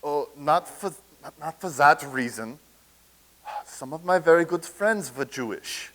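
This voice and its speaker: Brief: man reading as a nervous, stammering soldier in a comedy reading, voice pitched a little high. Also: expressive, measured pace, a bit bright. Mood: flustered. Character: flustered male soldier from a past war.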